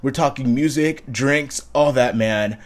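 A man playing a loud, excitable young frat bro who draws out slangy words. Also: mid-range voice, lightly acted. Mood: excited. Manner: laid-back slangy drawn-out words.

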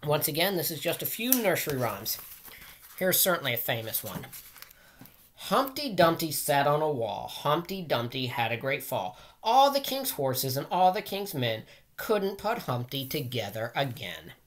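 A man's voice: on the high side, very animated, moderate pace, a bit bright. A middle-aged man speaking simply and directly to young listeners, as a teacher does in a casual read-aloud. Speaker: teacher reading aloud to children. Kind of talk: casual read-aloud of nursery rhymes for children. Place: small room at home, indoors.

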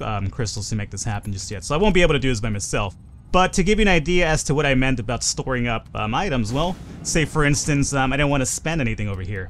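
A man's voice: medium pitch, fairly bright tone, a little hiss or hum. A young man giving casual, rambling, self-deprecating commentary over a game he is playing. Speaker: gaming channel host.

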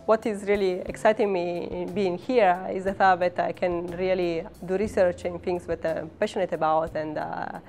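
Woman, thirties, Italian accent, low voice, academic interview, frequent hesitant pauses, soft music underneath. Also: steady tone. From Italy. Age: 30s. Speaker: professor and research scientist. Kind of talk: interview talk about own work.

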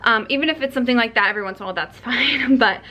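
A woman's voice: a little high, racing, pushed and bright. A young woman chatting casually to camera in a vlog, upbeat and full of fillers, filmed at arm's length in her car and home kitchen. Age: young adult. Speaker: vlogger. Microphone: handheld camera at arm's length.

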